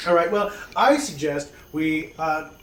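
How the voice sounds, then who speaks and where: A man's voice: fairly high pitch, animated, a little slow, distant mic. A man talking casually as a player at a tabletop game. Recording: noisy, roomy, off-mic.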